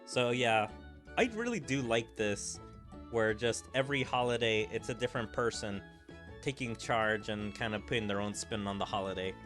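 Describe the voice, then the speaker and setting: A man's voice: fairly low pitch, normal speed. A man casually commentating on a game he is streaming, chatty and rambling with lots of fillers. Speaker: gaming streamer. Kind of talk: casual commentary while reading a game aloud. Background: faint game music.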